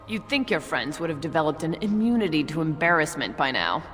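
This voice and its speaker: An exasperated, sharp-tongued dwarf woman from a fantasy game. Her delivery is sardonic and highly expressive in pitch. Music plays behind her, and the voice has long reverb. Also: brisk. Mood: scornful. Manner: sardonic, cutting. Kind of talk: video game voice acting.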